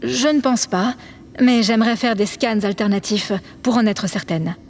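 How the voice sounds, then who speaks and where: A woman's voice: a little high, moderate pace, darkish tone, lightly acted. A woman playing an earnest, polite chief engineer aboard a spaceship in an audio drama, careful and matter-of-fact. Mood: earnest, concerned. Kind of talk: scripted audio drama acting. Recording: clear background noise.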